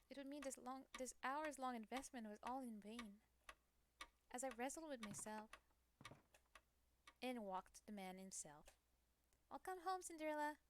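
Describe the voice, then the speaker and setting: A woman's voice: sits high, background noise. A woman streamer reading a visual novel aloud, acting out the lines and adding her own asides. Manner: acts out lines with ad-libbed asides. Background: game music.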